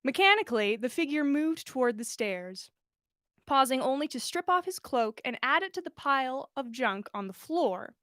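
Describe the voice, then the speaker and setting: A woman's voice: high-pitched, lively intonation, moderate pace, a bit bright, no room sound, studio quality. A woman hosting a livestream, chatting casually and reading fan fiction aloud in a bubbly, self-deprecating way. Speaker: podcast host and story reader.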